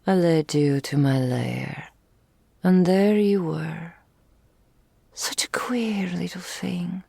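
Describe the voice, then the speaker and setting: Woman voicing a low, creaky, breathy dragon lady. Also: warm tone, heavily acted. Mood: fond reminiscing. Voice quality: breathy, often whispered, creaky. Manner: slow, formal archaic phrasing, regal and doting. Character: possessive, adoring female dragon in human form, regal lover. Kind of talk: intimate ASMR roleplay, scripted character acting. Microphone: close studio mic, dry.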